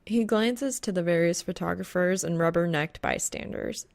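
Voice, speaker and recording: A woman's voice: fairly low pitch, moderate pace, gravelly. A woman reading a piece of fan fiction aloud as scripted audiobook narration.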